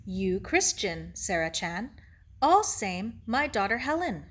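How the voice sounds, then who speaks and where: A woman reader gives us an elderly Japanese man from a children's novel, gentle and wise. He talks slowly in short, broken English phrases, measured and philosophical. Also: mid-range voice, sing-song, exaggerated voice. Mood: gentle, consoling. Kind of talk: children's novel read aloud.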